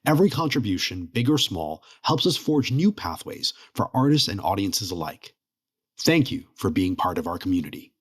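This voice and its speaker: A man's voice: fairly low pitch, sing-song, normal speed, husky. A man reading a scripted promotional appeal as announcer for a theater company.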